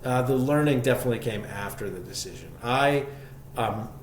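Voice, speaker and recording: A man's voice: mid-range voice, brisk. A man speaking as an interview guest, telling his story conversationally in a reflective, enthusiastic way. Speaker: podcast guest, shop co-founder. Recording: echoey room, faint background noise.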